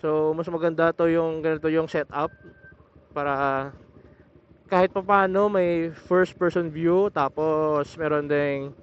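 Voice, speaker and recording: A young man vlogging while riding a motorcycle, explaining his setup in a casual, halting way with many restarts. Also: a little high, fairly even intonation, slight background noise. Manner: hesitant, restarts words, explains step by step. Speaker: motovlogger. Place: on a motorcycle outdoors. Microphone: motorcycle helmet intercom over Bluetooth. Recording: muffled helmet intercom audio.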